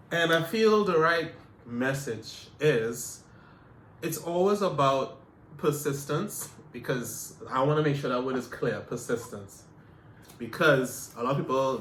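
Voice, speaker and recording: A man's voice: medium pitch, fairly bright tone, slight background noise. Canadian man musing in a roomy space. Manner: reflective, repeats himself, many fillers. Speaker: podcast co-host. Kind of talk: casual chat.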